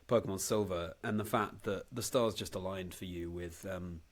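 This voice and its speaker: Low-pitched, encouraging male podcast host. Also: steady tone, moderate pace. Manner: encouraging, appreciative. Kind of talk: casual interview chat.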